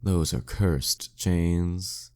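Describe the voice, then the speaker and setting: Demon king villain for audio roleplay, male actor: very low, unhurried, smug and seductively commanding. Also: darkish tone. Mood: gloating, mocking. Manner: teasing, condescending, menacingly courtly. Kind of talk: flirty audio roleplay monologue addressed to the listener.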